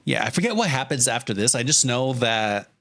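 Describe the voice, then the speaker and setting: A man's voice: mid-range voice, lively intonation, fairly quick, creaky, a bit bright. A man chatting casually on a livestream as he works, with a joking, self-deprecating manner and loose, sweary phrasing. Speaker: streamer, storyboard artist. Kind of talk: casual livestream chat while drawing.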